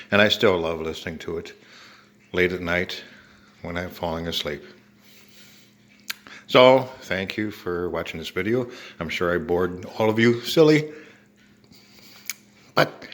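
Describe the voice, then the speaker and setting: Deep-voiced older American man. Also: measured pace, long pauses, fairly bright tone. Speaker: home video maker showing an antique radio. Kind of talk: casual show-and-tell narration.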